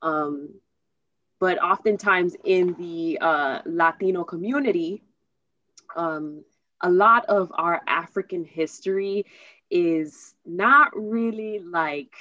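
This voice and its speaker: A woman with an American accent and a fairly low voice hosts a casual interview. She feels her way through sentences, pausing and restarting as she searches for words. The recording is a little dull and lo-fi. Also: bright, forceful tone, no room sound. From United States. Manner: halting, searching for words, repeats herself. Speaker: host interviewing a guest.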